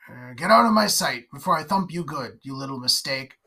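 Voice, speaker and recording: Narrator putting on a wild-eyed drunk backwoods pa, rough talk, loud and bright, pitched way up when he rants. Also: expressive, fairly quick. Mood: gruff. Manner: rough rustic dialect, wild ranting. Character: haunted, violent, drunken rural father, gruff. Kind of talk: storybook character voice.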